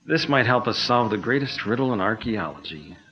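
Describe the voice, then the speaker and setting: A man's voice: lowish voice, lively intonation, brisk, lightly acted. A man playing a polite, nervous engineer. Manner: polite, eager, slightly nervous. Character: genteel, nervous male engineer and amateur archaeologist. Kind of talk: 1940s radio acting. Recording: dull lo-fi old-radio sound.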